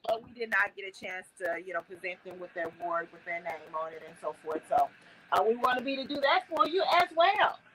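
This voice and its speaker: A woman's voice: medium pitch, animated, a little fast, a bit bright, poor audio. A woman hosting a casual livestream on her phone, talking in a folksy way with many fillers and restarts. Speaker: host.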